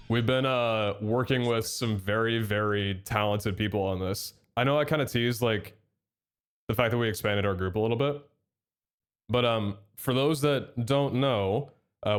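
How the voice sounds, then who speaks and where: A young man streaming live, chatting with his chat and thanking subscribers, a little nasal from a head cold. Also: lowish voice. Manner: chatty and excitable, reads out chat and thanks subs.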